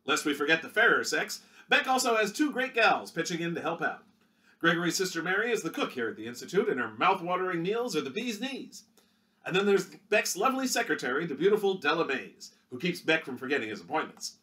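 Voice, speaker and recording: A man voicing a showy 1930s newsreel announcer with a booming delivery. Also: big pitch swings, a little fast, sharp tone. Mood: hearty.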